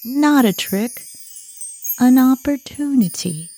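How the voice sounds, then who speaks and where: A woman playing a calm, reassuring advocate in a puppet musical, speaking in a measured, solemn way. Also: high voice, full character voice. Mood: hopeful, solemn. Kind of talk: stage puppet musical acting.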